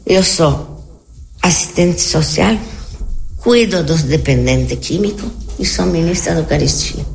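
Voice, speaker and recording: An elderly woman from Argentina, a native Spanish speaker with an Argentine Spanish accent, chatting in a halting, repetitive, anecdotal way as an interview guest. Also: sits low, gravelly. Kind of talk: casual conversational interview answers.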